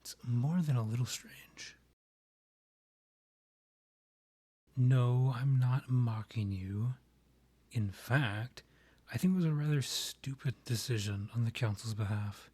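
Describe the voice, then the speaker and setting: Husky, courtly vampire king, acted by a man. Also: medium pitch, warm tone. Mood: indignant, restrained. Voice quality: husky, breathy. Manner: formal, measured, theatrical phrasing. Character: proud, courtly male vampire monarch, rival and ex. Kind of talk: scripted roleplay audio, ASMR.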